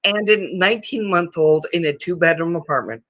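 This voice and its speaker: A woman in her fifties chatting casually on a livestream panel, rambling with halting restarts. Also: lowish voice, lively intonation, a crowd in the background. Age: 50s. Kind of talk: casual group chat.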